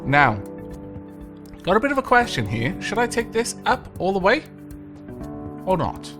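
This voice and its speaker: A man's voice: sits high, huge pitch swings, measured pace. A man with a slight cold, chatting playfully to himself and the game's tenants as he plays. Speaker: let's play host. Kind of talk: casual gameplay commentary. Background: game music.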